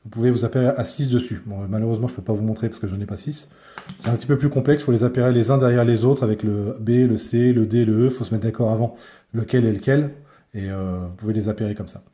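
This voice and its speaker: A man presents gear in an informal, hands-on tutorial. His voice sits fairly low and has a very mellow, dark tone. He talks colloquially, often hesitating as he looks for the right word. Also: quick pace. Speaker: product reviewer and motorcyclist. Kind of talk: casual hands-on tutorial and review.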